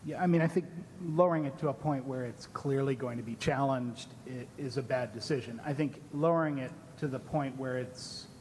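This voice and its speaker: A man with a mid-pitched voice answers formal questions in a conversational, carefully qualified way. He is heard in an echoing hall with rumbling room noise. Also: normal speed, few pauses, darkish tone. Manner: hedging, nuanced, conversational. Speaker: advocate answering commissioner questions. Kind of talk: unscripted answers at public hearing. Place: large indoor public hearing room.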